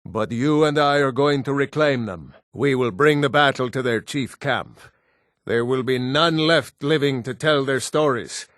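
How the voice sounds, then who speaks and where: A man acting as a proud, battle-hardened Nord warrior in a fantasy game. He speaks at a slow, deliberate pace with a commanding, solemn bearing. The voice sits in a middle male register and is recorded close and dry. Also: medium pitch, a bit bright, no room sound, lightly acted. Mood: grim, resolute. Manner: solemn, commanding, terse martial delivery.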